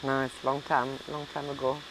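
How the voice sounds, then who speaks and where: A woman's voice: rumbling low, little pitch movement, moderate pace, slight background noise, rough recording. A middle-aged woman, a native French speaker from Belgium and southern France with a French accent, chatting casually in an interview and often searching for words. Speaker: interview guest, hotel and restaurant owner.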